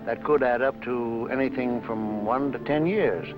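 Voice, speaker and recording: An actor playing a calm, level-headed man in a 1950s film noir. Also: mid-range voice, measured pace, close to an everyday voice. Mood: measured. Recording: dull old film soundtrack, music behind.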